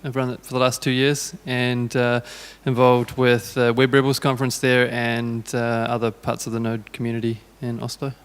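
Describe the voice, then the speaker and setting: New Zealand man, casual panel talk, crisp mid-range voice, strong hall reverb. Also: mid-range voice, level tone, normal speed, few pauses. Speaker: panelist, conference organizer.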